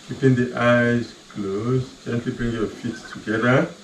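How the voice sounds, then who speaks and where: A man leading a guided relaxation, giving slow, soft, calm cues with long pauses between them. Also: mid-range voice. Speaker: meditation guide. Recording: roomy echo, voice far from the mic, faint ambient hum.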